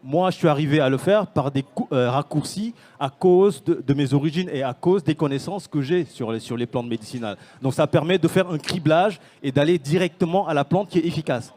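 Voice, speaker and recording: A man's voice: a little high, a little fast, hardly any pauses, mellow. A man from Senegal, a startup founder, speaking on a conference panel with many fillers and restarts. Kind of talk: panel talk.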